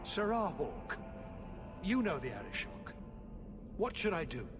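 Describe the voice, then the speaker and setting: An actor playing an anxious, exasperated middle-aged city ruler in a video game, speaking formally and theatrically. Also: male voice, sits high, very animated, unhurried, music in the background. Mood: weary, troubled. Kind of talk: dramatic video game acting. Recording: loud ambient noise behind.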